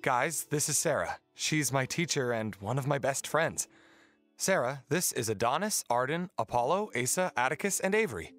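A man playing a kind, helpful young merman prince, explaining things gently in an audio drama. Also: medium pitch, animated, normal speed, no echo, faint music behind, natural voice. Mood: kind, patient.

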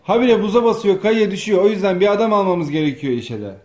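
A man directs a home comedy sketch, teasing and bossing the players. His pitch is high for a man. The sound is echoey with a little background noise. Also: expressive, quick pace, a little mellow. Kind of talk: coaching and feeding lines. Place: home dining room, indoors. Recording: echoey room, faint noise.

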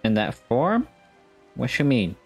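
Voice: medium pitch, very animated, slow, slightly rough. A young adult streamer giving casual reactions and reading out dialogue choices while playing a game. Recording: game music behind the voice.

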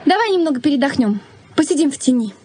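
A woman's voice: high-pitched, animated, quick pace, darkish tone. An actress playing a playful, dreamy young fiancée in a Soviet radio play, with a girlish manner. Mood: playful, coaxing. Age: young adult. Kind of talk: Soviet radio drama acting. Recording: noisy old radio-play recording with birdsong.

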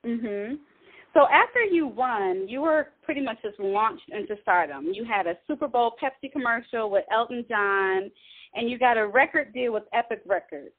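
A woman's voice: on the high side, expressive, moderate pace. A woman hosting a magazine interview, asking short upbeat questions. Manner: upbeat, brief questions and backchannels. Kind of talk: phone interview. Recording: tinny phone line.

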